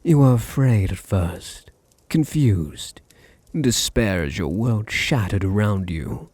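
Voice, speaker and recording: A British man acting a seductive, domineering vampire master in an ASMR roleplay, breathy and often near a whisper, with purring, condescending endearments. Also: lowish voice, animated, slow, darkish tone, no room sound. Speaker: audio roleplay voice actor.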